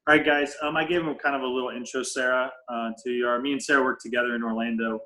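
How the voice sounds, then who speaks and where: Flat-voiced man introducing a guest. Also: mid-range voice. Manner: halting, with fillers and repeats. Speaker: coach. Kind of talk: casual introduction on a video call. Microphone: video call.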